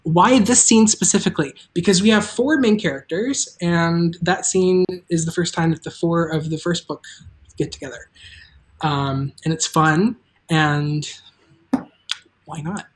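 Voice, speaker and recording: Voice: medium pitch, steady tone, measured pace, low-quality recording. A host chatting casually on a livestream, making announcements in a loose, informal way that trails off mid-thought. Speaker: host and creator of an audio drama.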